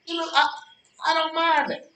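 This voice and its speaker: Male, high lively voice, acted smug needling rival, boastful, repetitive emphasis, stage play, distant roomy recording. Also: normal speed. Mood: self-satisfied, preachy. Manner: taunting, repeats phrases for emphasis, colloquial. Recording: roomy, echoey, distant from microphone.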